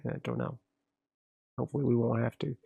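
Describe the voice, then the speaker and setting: A man's voice: medium pitch, brisk, vocal fry, slightly rough, dry recording. A man playing a solo tabletop game, casually narrating and thinking aloud as he sets up his next area. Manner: relaxed, rambling, frequent restarts.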